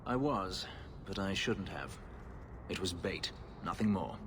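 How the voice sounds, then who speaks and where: Deep, brooding fugitive warrior. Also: male voice, expressive, background noise. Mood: guarded, earnest. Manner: formal, grave, measured speech. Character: brooding, bitter elven fugitive slave warrior. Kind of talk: video game voice acting.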